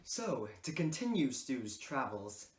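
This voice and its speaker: A man's voice: mid-range voice, big pitch swings, slow pace. A man giving a formal, earnest scripted narration as presenter of a reader's theater piece. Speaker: presenter of a literature class project. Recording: roomy echo.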